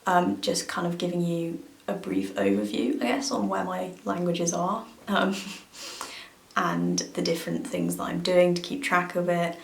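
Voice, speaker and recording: A young woman chatting to her camera with a hesitant, filler-filled delivery. Her voice sits a little low and carries a gravelly fry. The room around her sounds echoey. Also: unhurried. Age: young adult. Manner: hesitant, many fillers and hedges. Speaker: language-learning vlogger. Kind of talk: casual talk to camera.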